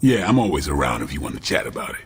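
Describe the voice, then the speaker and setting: A man playing a gruff, guarded warrior who is earnest about books, in a terse, dry video game cutscene style. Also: a bass voice, very animated, very fast, exaggerated voice.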